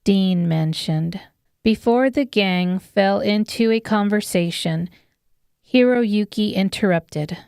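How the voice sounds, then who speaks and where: A woman reads scripted fantasy narration for an audiobook. She speaks slowly in a clear, medium-pitched voice, with a very dry sound. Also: a little mellow, dry recording.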